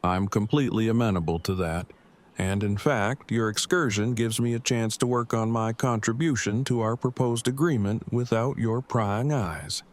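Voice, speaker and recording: A man's voice: on the low side, moderate pace, close to an everyday voice. A man playing a pedantic, earnest survivor in an audio drama, speaking in stiff, precise, formal phrases. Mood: formal, fussy.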